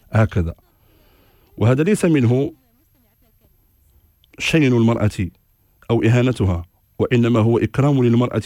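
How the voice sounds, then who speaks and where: A man as a religious scholar on a radio call-in show, giving formal rulings in a measured, authoritative way and citing scripture and scholars. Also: medium pitch, darkish tone, dry recording, clean studio sound. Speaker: religious scholar answering questions.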